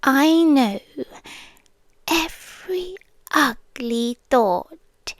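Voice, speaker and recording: Woman acting a slow, high-voiced British vampire girlfriend. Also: expressive, dry recording, clean studio sound, exaggerated voice. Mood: obsessive, adoring. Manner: soft, breathy, often dropping into whispers, coaxing. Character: possessive, obsessively adoring female vampire girlfriend. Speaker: ASMR roleplay performer. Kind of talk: ASMR roleplay, slow intimate delivery with whispering.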